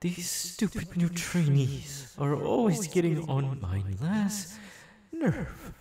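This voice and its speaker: A man playing a menacing, unhinged young supervillain boss in an ASMR audio roleplay, threatening his underlings slowly and deliberately. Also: a little high, big pitch swings, mellow, full character voice. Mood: strained, brooding.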